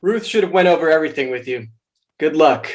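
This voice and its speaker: A man's voice: fairly high pitch, animated, brisk, natural voice. A man playing an abrasive, self-important warehouse supervisor with an aggressive, contemptuous manner in a table read. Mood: curt.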